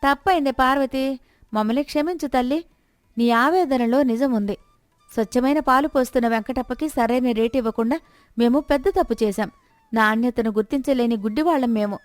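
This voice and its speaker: A woman's voice: fairly high pitch, brisk, no echo, soft background music, lightly acted. A woman voicing contrite, pleading villagers in an earnest manner for a story.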